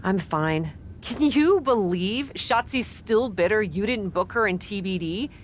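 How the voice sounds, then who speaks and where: A woman plays a cocky young wrestler who shrugs things off in a breezy, flippant way. Her voice sits mid-range for a woman, with a faint rumble of noise underneath. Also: expressive, measured pace, lightly acted. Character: cocky young female wrestler, number one contender. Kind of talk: game cutscene dialogue.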